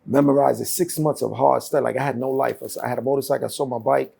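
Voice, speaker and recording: A man's voice: mid-range voice, steady tone, mellow. A man, a former officer telling his story as a podcast guest in streetwise slang. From Brooklyn, New York. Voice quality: gravelly, husky. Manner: streetwise slang, fast, frequent "you feel me".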